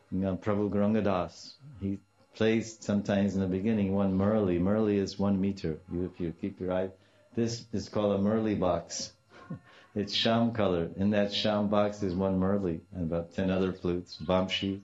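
Older man with a deep voice. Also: darkish tone. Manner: storytelling with repeated phrases and Sanskrit terms. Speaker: devotional teacher. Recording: dull, slightly muffled lo-fi recording.

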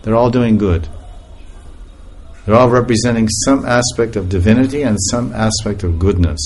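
Man, spiritual lecture, animated storytelling, frequent laughter, faint background noise. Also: on the low side, moderate pace. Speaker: guru giving a talk.

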